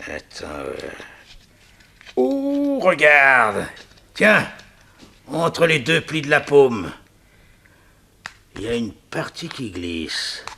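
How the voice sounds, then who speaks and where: An actor playing a cocky, slangy crook in a 1960s French radio serial, with an offhand, animated manner. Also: male voice, medium pitch, drawn-out words, long pauses, exaggerated voice. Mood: smug, intent.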